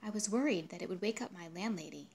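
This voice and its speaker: Female literary reader, mid-pitched, expressive and dramatic storytelling delivery. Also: fast talker, slight background noise. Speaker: translator reading their own literary translation.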